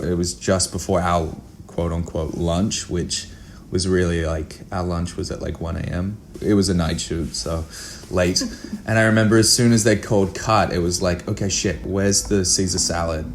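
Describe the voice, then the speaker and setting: A man with a low voice talks casually and candidly as an interview guest. He is informal, a little sweary, and often restarts his sentences. There is clear background noise around him. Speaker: actor and producer guest.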